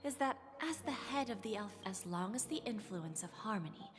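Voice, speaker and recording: A woman voicing a composed senior woman executive in a video game, soft, hushed and smoothly persuasive. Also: medium pitch, very animated, moderate pace, lightly acted. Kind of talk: video game character dialogue. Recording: game audio with background music.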